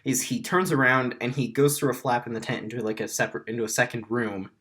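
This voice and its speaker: A raspy-voiced man running a tabletop game, rambling through narration with many fillers. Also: medium pitch, steady tone, quick pace, a bit bright. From Wyoming. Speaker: game master.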